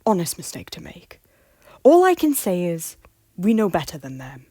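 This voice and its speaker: Woman doing a bitter young king, dismissive, animated pitch, dry sound. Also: moderate pace, no echo. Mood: scornful. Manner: curt, dismissive. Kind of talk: audio drama acting.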